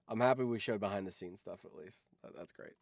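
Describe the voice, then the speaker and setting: A man's voice: on the low side, gravelly, dry recording, clean studio sound. A man hosting a livestream in casual chat, rambling and swearing freely as he talks. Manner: rambling, profane. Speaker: livestream host.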